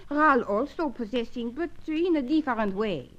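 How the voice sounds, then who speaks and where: A woman plays a coquettish French cabaret dancer, jealous and melodramatic, in an old radio serial. Her high voice swings expressively through broken English. The recording is dull and lo-fi. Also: expressive, moderate pace, lightly acted. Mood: coy, matter-of-fact. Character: flirtatious, jealous foreign cabaret dancer in love.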